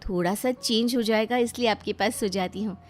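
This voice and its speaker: A woman's voice: mid-range voice, fairly quick, lightly acted. A woman acting a pleading, stubborn young daughter-in-law. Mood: defensive, pleading. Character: young daughter-in-law, polite but stubborn, easily put out. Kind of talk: dramatized Hindi family storybook.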